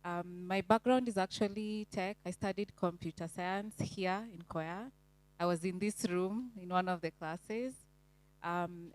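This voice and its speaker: Lower-voiced woman recruiter. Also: rough recording. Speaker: head of recruitment. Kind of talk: panel self-introduction.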